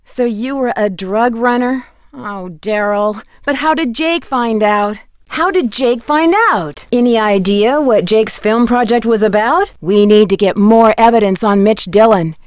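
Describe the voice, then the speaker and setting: An actress plays a young woman detective in a video game, curious and plucky. Her voice sits a little high and she enunciates clearly as she questions. The recording is dry, with no room around it. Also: unhurried, dry recording, natural voice. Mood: probing. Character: Nancy Drew, curious plucky young female amateur detective.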